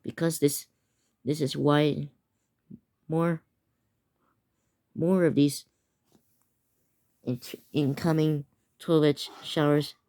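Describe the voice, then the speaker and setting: A man's voice: a little high, fairly even intonation, drawn-out words, long pauses, mellow. A teenage boy improvising a mock emergency broadcast, often stumbling and restarting his words. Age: teens. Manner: stumbling, restarting words while reading out an alert.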